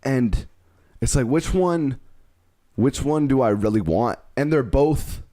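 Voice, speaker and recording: A man's voice: mid-range voice, animated, slow pace, warm tone, dry recording, studio quality. A man in his twenties co-hosting a podcast, talking in a rambling, frank way that trails off mid-thought. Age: 20s. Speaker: podcast co-host. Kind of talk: casual chat.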